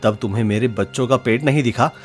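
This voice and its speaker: A man's voice: medium pitch, fast talker, close to an everyday voice. Man acting an arrogant rich village blacksmith, background music. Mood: bitter, mocking. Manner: boastful, taunting. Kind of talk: Hindi animated moral story dialogue.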